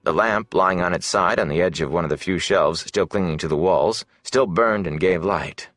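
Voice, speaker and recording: A man's voice: low voice, normal speed, fairly bright tone, dry recording, clean studio sound. A man reading scripted fantasy narration dramatically as an audiobook narrator.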